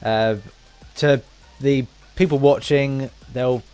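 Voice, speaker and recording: Male podcast host, medium pitch, informal, questions and asides delivered in a stumbling, stop-start way. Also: fairly even intonation, darkish tone, clear, resonant tone, soft background music. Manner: halting, stumbling over phrases. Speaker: podcast interviewer. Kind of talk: casual interview chat.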